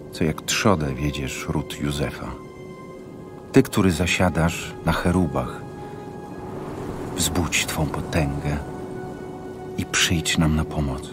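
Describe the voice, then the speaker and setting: An actor playing a reverent psalmist, solemnly imploring God in a dramatized audio Bible. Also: male voice, rumbling low, slow, pauses often. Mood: reverent pleading. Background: music.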